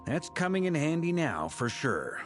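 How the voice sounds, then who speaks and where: A man's voice: fairly high pitch, big pitch swings, moderate pace, music in the background. A man playing an easygoing, witty young adventurer in a video game, with a casual, playful manner. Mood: easygoing, curious. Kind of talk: video game character acting.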